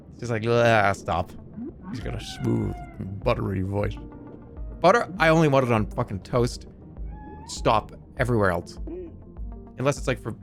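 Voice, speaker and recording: A man's voice: fairly low pitch, creaky. A man in his thirties streaming a game, chatting casually in a rambling, sarcastic way and swearing freely.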